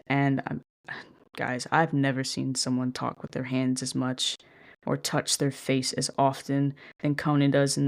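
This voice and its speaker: A woman hosting her own casual podcast, talking alone into the mic about a show she loves. Her delivery stays flat and monotone, though she is chatty and self-deprecating, with the odd swear word. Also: low-pitched. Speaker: podcast host. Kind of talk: casual solo commentary.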